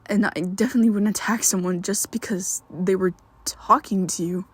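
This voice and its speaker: A man voicing a young vampire who is his listener's best friend, speaking in a soft, breathy half-whisper with hesitant restarts, in an ASMR roleplay. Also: sits high, fairly even intonation, normal speed. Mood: pleading, defensive. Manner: hesitant, stumbling restarts, pleading.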